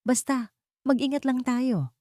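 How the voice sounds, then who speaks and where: A woman's voice: sits high, unhurried, darkish tone, no echo, studio quality, pitched above the performer's own voice. A woman voicing a suspicious, falsely concerned wealthy woman in an audio storybook drama, speaking in hints. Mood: insinuating.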